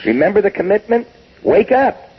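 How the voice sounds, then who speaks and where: Guy in his 60s, real husky, voice jumps all over, sounds like an old cassette tape. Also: sits high, moderate pace, dull audio. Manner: emphatic, animated storytelling with acted quotes. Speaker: business guest expert. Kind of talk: recorded business interview.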